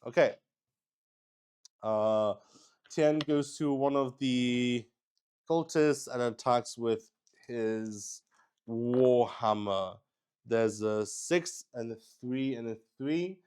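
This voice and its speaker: Male solo roleplayer, smooth voice, unhurried and halting, works out the game aloud as he narrates. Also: mid-range voice, darkish tone. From Luxembourg. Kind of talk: narrating a solo tabletop game while playing.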